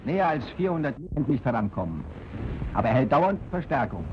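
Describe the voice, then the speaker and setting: A man plays a calm frontline company commander who reports the situation matter-of-factly, his mid-pitched voice moving lively up and down. A dull 1940s film soundtrack carries a clearly audible hiss. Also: fairly quick, close to an everyday voice. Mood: reporting. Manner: matter-of-fact tactical report.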